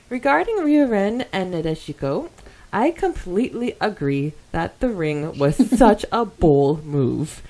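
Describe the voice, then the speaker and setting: A woman with a mellow voice that sits a little lower than most. She co-hosts a casual podcast, moving between reading listener comments and adding her own asides. Her delivery is chatty, enthusiastic and prone to giggles. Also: low-quality recording. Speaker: podcast co-host.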